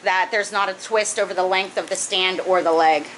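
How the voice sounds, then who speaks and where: A woman's voice: on the low side, steady tone, moderate pace, fairly bright tone, slight background noise, rough recording. A woman in her thirties casually explaining a project step by step in her basement fish room, with a few fillers. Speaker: aquarium hobbyist vlogger.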